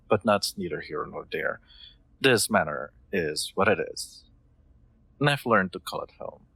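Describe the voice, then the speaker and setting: A man playing a gentle, solicitous nobleman in an intimate roleplay audio drama, speaking in formal, courteous, slightly hesitant phrases. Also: low voice, creaky, breathy edge, no echo, heavily acted. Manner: formal, old-fashioned courteous phrasing, hesitant, soft chuckles. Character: gentle, solicitous nobleman, new master of an automaton.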